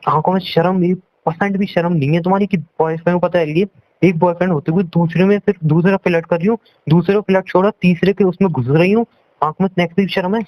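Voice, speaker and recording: A man's voice: high-pitched, fairly even intonation, fast, no room sound. A young man arguing on a phone call in an accusing, scolding and repetitive way. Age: young adult. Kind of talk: heated relationship argument in casual Hindi-English talk. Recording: dull lo-fi call recording.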